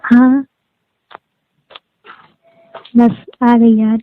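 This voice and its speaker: Higher-voiced woman on a tinny phone line. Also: flat delivery, takes it slowly, slight background noise. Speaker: caller in a phone conversation. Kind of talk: casual private phone chat. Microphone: phone call.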